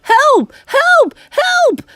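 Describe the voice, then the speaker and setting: A frantic kitchen cook from a storybook, acted out by a woman reader. He yells and exclaims in a high, strained shriek that leaps about. He sounds panicked and indignant. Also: very animated, extremely slow, no echo, full character voice. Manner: yelling, exclamatory.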